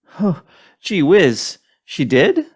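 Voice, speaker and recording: A man plays an oblivious, gee-whiz teenage boy from a 1940s-style radio sitcom. The voice is husky and drawn out, leaping all over in pitch with eager energy. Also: wildly swooping pitch, very slow, full character voice. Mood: eager, puzzled.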